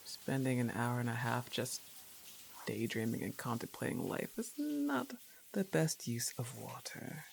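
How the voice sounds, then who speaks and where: A young goth roommate, acted by a woman, drawls slowly in a roleplay audio. Her pitch swings widely as she teases, laid-back yet a little annoyed, with casual sarcasm. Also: lively intonation, vocal fry, slight background noise, full character voice, low-quality recording. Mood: relaxed musing. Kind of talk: acted roleplay ASMR monologue.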